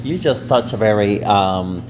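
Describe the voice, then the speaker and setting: A young man from Panama with a notably low, deep voice chats casually on a student podcast. He hesitates often, filling gaps with ums, and lets the conversation wander. The delivery is relaxed and unpolished. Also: a little slow, music in the background. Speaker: podcast co-host. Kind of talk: casual chat.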